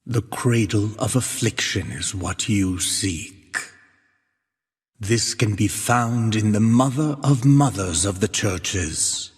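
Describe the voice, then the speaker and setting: A grave, devout penitent witness narrating a dark video game. His low voice is very husky and breathy, delivered slowly in archaic, portentous phrases that trail into long reverb. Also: full character voice. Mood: grave, foreboding. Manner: solemn, measured, portentous.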